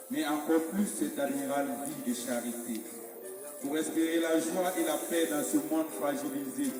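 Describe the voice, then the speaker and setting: Man reciting poetry in a cavernous, echoing hall. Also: a little high. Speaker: poet reciting his own religious poem. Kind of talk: declaimed French spoken-word poetry with a sung opening hymn. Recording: very echoey hall, distant, music behind. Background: music.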